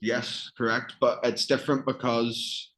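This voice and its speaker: A man with a Northern Irish accent talks a student through English vocabulary, pausing to give examples and ask questions. His pace is slow and unhurried, and his voice sits at a middling pitch. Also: little pitch movement, fairly bright tone. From Northern Ireland. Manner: patient, explains slowly with examples and questions. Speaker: English teacher. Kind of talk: one-on-one English lesson.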